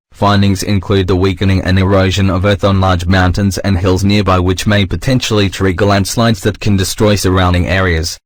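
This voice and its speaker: A man voicing a formal, stern emergency broadcast announcer, reading a scripted alert in a measured, impersonal manner. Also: low-pitched, dry recording, studio quality, lightly acted.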